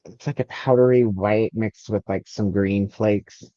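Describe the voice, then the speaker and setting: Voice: sits low, steady tone, unhurried, mellow, no room sound, dull audio. A host chatting casually and reading out chat on a livestream, with a joking, sweary way of talking.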